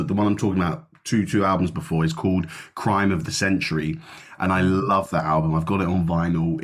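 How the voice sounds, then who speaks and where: A man hosting a podcast in casual chat, sniffly with a cold, chatty and quick to laugh. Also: sits low. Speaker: podcast host. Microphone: video call.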